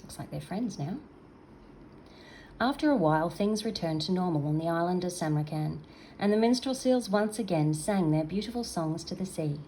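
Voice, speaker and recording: A woman's voice: lowish voice, animated, moderate pace, warm tone, poor audio. Older woman reading a children's story, background noise.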